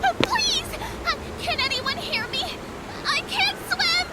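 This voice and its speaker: A woman playing a terrified drowning girl in an audio drama, shrill and desperate. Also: lively intonation, takes it slowly, exaggerated voice. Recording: very noisy storm ambience.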